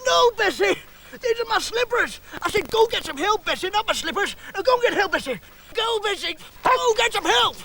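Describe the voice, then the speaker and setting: An actor playing a folksy, over-dramatic backwoods pioneer in a comedy sketch. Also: male voice, very high-pitched, moderate pace, vocal fry, background noise. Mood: frantic, pleading. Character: hearty backwoods pioneer calling to his companion Bessie. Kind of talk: broad comedy sketch acting.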